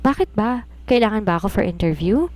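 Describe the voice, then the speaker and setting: A woman storyteller voicing a breezy, secretive young female novelist who poses as a maid, with a casual, brisk, evasive manner. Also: medium pitch, lively intonation, a little mellow, dry recording, pitched above the performer's own voice, close to an everyday voice. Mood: evasive, hurried.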